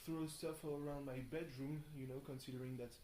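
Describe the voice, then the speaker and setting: A tired young man rambles casually through a video diary, halting and thinking aloud, often questioning himself. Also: mid-range voice, fairly even intonation, dark tone. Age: young adult. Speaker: video blogger. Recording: roomy echo, faint background noise.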